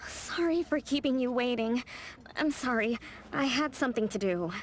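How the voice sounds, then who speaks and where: A woman's voice: on the high side, expressive, normal speed, a little hiss or hum, lightly acted. A woman voicing a meek, forgetful young woman in a video game, hesitant and apologetic. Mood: sheepish. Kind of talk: game dialogue acting.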